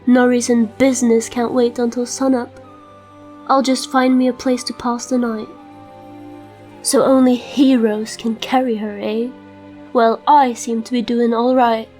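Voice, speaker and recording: A scruffy little rogue with hero pretensions, voiced by a woman. The talk is gruff, slangy and rough in grammar, pitched high for a fantasy comic dub, with faint music underneath. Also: flat delivery, measured pace, darkish tone, lightly acted. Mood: musing, self-satisfied. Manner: colloquial slurred vernacular with broken grammar. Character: scruffy uneducated thief, gruff, sly, would-be hero.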